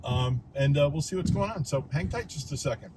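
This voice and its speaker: A hoarse man with a Midwestern American accent, hosting a casual shopping vlog and chatting about prices. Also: on the high side, animated, brisk, heavy background noise. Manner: chatty, talks prices aloud. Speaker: vlog host. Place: car, then grocery store aisles.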